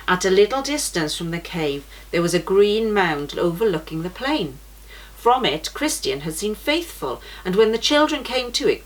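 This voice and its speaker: A woman's voice: on the low side, poor audio. A young woman reading a children's storybook aloud for a church story time, shifting her voice for the characters. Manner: gentle, shifts voice for characters in quotes.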